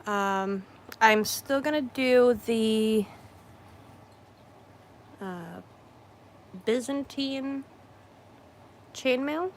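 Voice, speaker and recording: A hoarse woman chatting slowly and easily while she crafts at home, clearing her throat often and circling back to fix what she just said. Her pitch sits mid-range, and a faint hum of room noise stays under her voice. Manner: rambling, with frequent throat-clearing and self-corrections. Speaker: craft streamer. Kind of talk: casual livestream chat while crafting.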